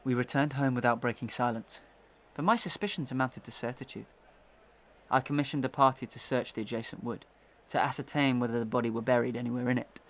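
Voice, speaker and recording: Man acting a determined, formal gentleman accuser. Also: mid-range voice, steady tone, a little fast, close to an everyday voice. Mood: suspicious, dramatic. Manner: formal, dramatic storytelling. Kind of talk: Victorian melodrama audio acting.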